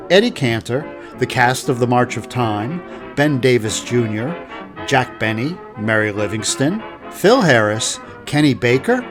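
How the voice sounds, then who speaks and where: Man, podcast host, measured scripted narration, mid pitch, guitar music behind. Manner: calm, measured.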